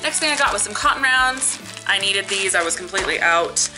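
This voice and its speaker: A woman's voice: medium pitch, expressive, brisk, music in the background, rough recording. A young woman with a cold, chatting casually to camera in her living room in a sniffly, upbeat way, with lots of likes and ums. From Canada. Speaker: beauty and family vlogger. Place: living room in front of a lit Christmas tree, indoors. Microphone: camera close in front.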